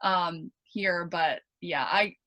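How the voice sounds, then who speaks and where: A woman's voice: lowish voice, fairly even intonation, takes it slowly, pushed and bright. A young woman with a Canadian accent, a pro tennis player chatting as a podcast guest, with plenty of fillers, restarts and easy laughs. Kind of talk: casual interview chat.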